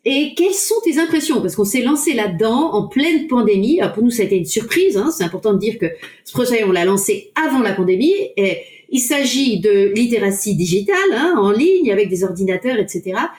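A woman's voice: on the high side, expressive, fairly quick, darkish tone. A woman interviewer who lays out a project at length and then asks questions. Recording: echoey room.

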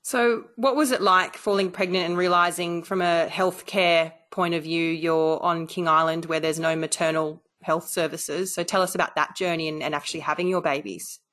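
A woman's voice: on the low side, fairly even intonation, moderate pace, hardly any pauses, a bit bright. Upbeat woman podcast host. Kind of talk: podcast hosting, scripted intro then chat.

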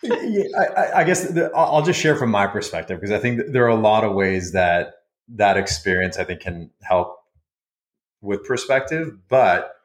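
Iranian-born founder guy, slow to find his words, reflective, roomy echo. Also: on the low side, lively intonation. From Iran. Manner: thoughtful, halting, many restarts. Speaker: founder, guest. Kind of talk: reflective panel chat.

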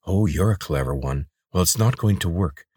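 Man playing an angry young woman guard, sharp, accusing, dry sound. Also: deep voice, lively intonation, moderate pace, husky, no echo. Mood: hostile.

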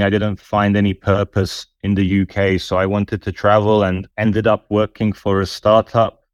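A man in his thirties, raised in the UK, answering interview questions in a measured, reflective way. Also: on the low side, dry recording, dull audio. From born in former Yugoslavia, raised in the UK. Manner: measured, reflective, with some fillers. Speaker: podcast guest, nonprofit founder.

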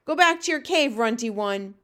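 A woman voicing a huge, menacing giant bully in a storybook, loud, booming and taunting. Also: moderate pace. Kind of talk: storybook read-aloud villain voice.

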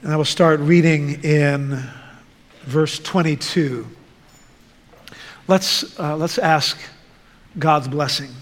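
Guy from the netherlands preaching real slow, kinda high voice, big echoey church. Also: steady tone. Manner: slow, deliberate, measured delivery. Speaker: guest preacher. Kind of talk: sermon with scripture reading. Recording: reverberant church hall.

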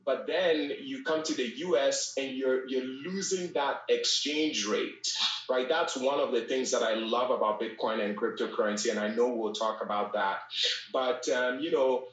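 A man's voice: mid-range voice, normal speed. A man from Ghana, a podcast guest telling his story casually, with fillers and restarts. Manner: conversational, frequent fillers and restarts. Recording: echoey room, distant from mic.

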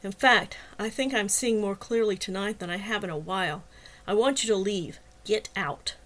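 A woman's voice: mid-range voice, moderate pace, fairly bright tone, lightly acted. A woman voicing an indignant heroine in an audiobook, speaking in heated, clipped, challenging phrases. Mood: firm anger. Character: indignant woman narrator-heroine, standing her ground. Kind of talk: dramatized audiobook dialogue.

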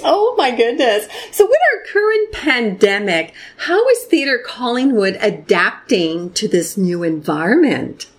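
A woman's voice: on the high side, big pitch swings, a little slow, few pauses. A woman presenting and interviewing as a radio show host, reading from a script.

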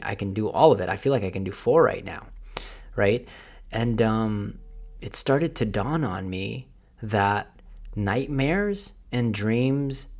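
Gravelly-voiced man giving a pep talk. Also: lowish voice. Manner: earnest, restarts often, slows down to stress key ideas. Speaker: fitness vlogger. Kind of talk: motivational vlog talk.

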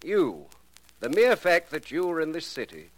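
An actor playing a suave criminal professor in a 1940s radio drama, speaking formally with mocking courtesy and quiet menace. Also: male voice, on the high side, wildly swooping pitch, moderate pace, exaggerated voice, rough recording. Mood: mockingly courteous. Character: suave, self-assured criminal professor, an extortionist.

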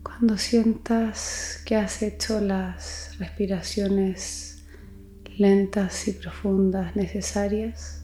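A woman leading a guided meditation, speaking gently and soothingly in a soft voice with long pauses. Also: medium pitch, level tone, roomy sound, a little hiss or hum. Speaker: psychologist guiding meditation. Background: music.

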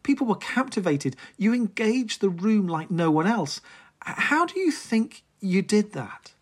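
An interviewer reading prepared questions in an admiring, measured way. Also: mid-range voice, sing-song, husky.

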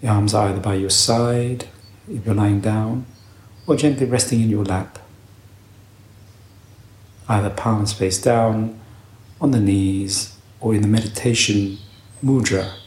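A man leading a guided meditation with calm, gentle, unhurried instructions and long pauses between short phrases. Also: on the low side. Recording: roomy echo, faint background noise.

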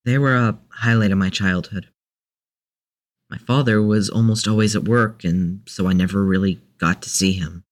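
A man's voice: lowish voice, fairly even intonation, moderate pace, close to an everyday voice. A man playing a mild, easygoing and slightly hesitant character in an audio drama. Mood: nostalgic. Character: easygoing, nostalgic man, mild peacemaker of the group.

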